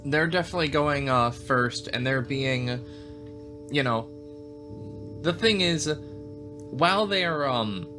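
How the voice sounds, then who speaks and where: A man casually describing his character's moves as a tabletop game player, in halting, pieced-together phrases. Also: medium pitch, music in the background.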